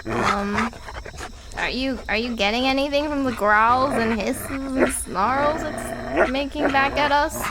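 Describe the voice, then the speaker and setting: A woman's voice: high voice, takes it slowly, heavy background noise. A woman playing a nervous, wry visitor in an audio drama, rambling and hesitant. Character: nervous, wry human woman on a park tour. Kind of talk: fiction podcast audio drama acting.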